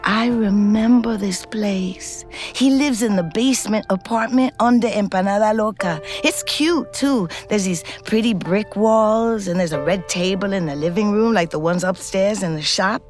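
An actress playing a streetwise, weary middle-aged ex-convict who narrates an audio drama in a frank, wistful, conversational way with slangy swearing. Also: female voice, medium pitch, soft background music, close to an everyday voice. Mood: observant, confiding. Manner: conversational, halting, profane slang. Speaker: lead actor and narrator in scripted fiction podcast. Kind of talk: intimate first-person audio drama narration.

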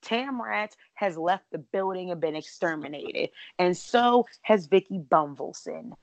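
Raspy lady podcast host, lowish voice, dry sound, says like a lot. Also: lively intonation, dry recording. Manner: chatty, fast-talking with many "like" and "literally". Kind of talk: casual chat. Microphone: remote call, cutting out at times.

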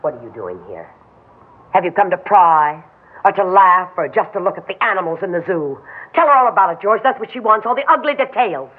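High-strung wife in old radio drama. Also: female voice, big pitch swings, a little fast, breathy edge. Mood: hostile. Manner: overwrought, pleading, swings into hysteria. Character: frightened, high-strung young wife in hiding. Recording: muffled, slightly noisy old radio recording.

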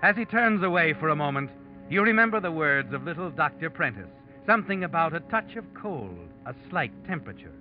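An ominous narrator from 1940s radio suspense, knowing and taunting as he speaks to the guilty man. His voice sits a little high, and dramatic music runs behind him on a dull vintage recording. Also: big pitch swings, brisk. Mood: knowing, insinuating. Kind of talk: 1940s radio suspense narration, addressing the protagonist in second person.

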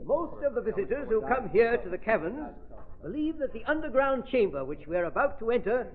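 Showy american tour guide guy, very high voice, lecturing, old tinny radio. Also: sing-song, brisk, a crowd in the background. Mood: grand, informative. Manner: declaiming, lecturing to a group. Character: cavern tour guide, man, informative and showmanlike. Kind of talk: old radio drama acting.

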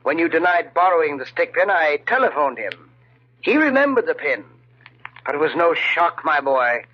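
Stern, higher-voiced uncle. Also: male voice, sing-song, slightly rough. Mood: coldly accusing. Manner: measured, accusing. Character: stern, distrustful uncle confronting his nephew. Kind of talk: 1940s radio drama acting. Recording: muffled old radio recording.

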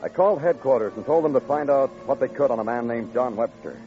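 An actor playing a world-weary police detective in an old radio drama, speaking in a clipped, dry manner. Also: male voice, medium pitch, quick pace, music in the background, close to an everyday voice. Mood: wry, somber. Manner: clipped, dry, literary narration. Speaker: narrator and lead actor. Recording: muffled, noisy old radio recording.

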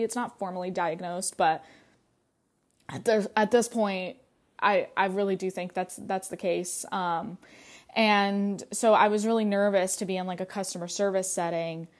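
A young adult woman hosting a podcast, talking casually about her own life, full of "like" and "um". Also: medium pitch. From United States. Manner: chatty, many fillers and "like". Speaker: podcast host. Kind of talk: casual personal monologue.

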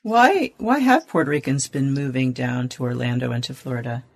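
A man's voice: medium pitch, animated, normal speed, vocal fry. A man interviewing a guest in a conversational way, with informal, roundabout questions.

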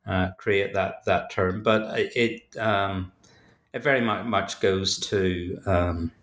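A man's voice: sits low, fairly even intonation, slow pace, fairly bright tone, echoey room. A man with a Belfast accent, an author giving thoughtful, hesitant interview answers full of fillers and restarts. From Northern Ireland. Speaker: author and academic guest.

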